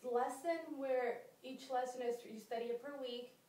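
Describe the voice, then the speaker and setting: A woman's voice: sits high, steady tone, moderate pace, mellow. A woman from Costa Rica answering interview questions, hesitant and full of ums. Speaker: job applicant. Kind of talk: mock job interview. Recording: echoey room from far off.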